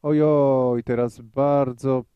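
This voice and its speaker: A man giving live pool commentary in a measured way, thinking aloud with frequent hesitations. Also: mid-range voice, fairly even intonation, smooth, no room sound, highs cut off.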